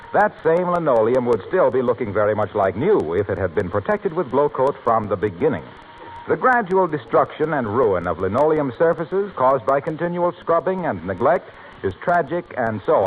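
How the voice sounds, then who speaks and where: Animated American announcer, tinny old radio. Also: male voice, mid-range voice. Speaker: commercial announcer. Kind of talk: 1940s radio ad read. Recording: tinny, faintly noisy 1940s radio recording.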